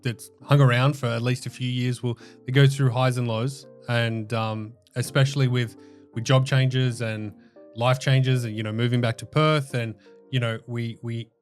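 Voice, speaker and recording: Australian man, mid pitch, laid-back guest speaking with easy fillers. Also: moderate pace, faint music behind. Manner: relaxed, thoughtful, many fillers like "you know". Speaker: co-streamer guest. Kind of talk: casual interview chat.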